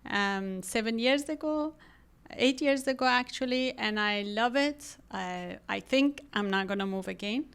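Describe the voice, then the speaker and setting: A woman's voice: a little high, measured pace, fairly bright tone, clear, resonant tone. A woman from Iran with an Iranian accent, speaking conversationally as an interview guest about her work. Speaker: podcast guest, real estate agent.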